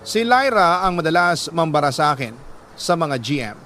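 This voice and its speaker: A Filipino man with a fairly high voice narrates a first-person drama in an earnest, measured way. He leaves dramatic pauses between short phrases. There is some noisy ambience behind his voice. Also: sing-song, bright, forceful tone. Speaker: radio-style storyteller reading a letter sender's love story.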